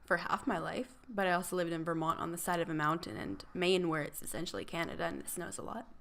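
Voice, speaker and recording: A woman's voice: fairly low pitch, fast talker, a bit bright. A woman co-hosting a podcast in casual chat, rambling with fillers and laughs. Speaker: podcast co-host.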